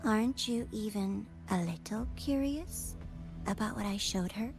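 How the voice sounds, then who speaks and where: A woman's voice: mid-range voice, a little slow, darkish tone, close to an everyday voice. A woman voicing a playful, taunting supernatural schemer with a theatrical manner in a video game. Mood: sly, taunting. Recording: game audio with music underneath.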